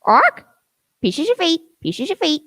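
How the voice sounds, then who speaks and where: An ancient pirate's parrot for a radio play. It squawks high and sharp, swinging wildly in pitch, with slow repeated calls. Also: female voice, very animated, dry recording, studio quality, exaggerated voice. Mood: squawking. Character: squawking two-hundred-year-old pirate's parrot.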